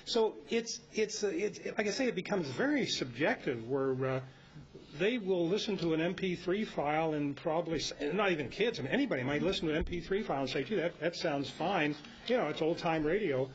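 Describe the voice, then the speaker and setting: A man's voice: lively intonation, a little fast, husky. An American man leading an informal club talk, rambling and anecdotal as he presents to the group. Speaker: meeting presenter. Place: club meeting room. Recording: dull lo-fi meeting recording with rumbly noise.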